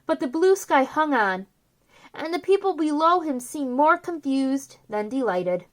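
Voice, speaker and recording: Female picture-book narrator, bright-pitched and very lively in intonation, expressive and theatrical. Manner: theatrical, drawn-out emphasis on key words. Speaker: children's storyteller, host.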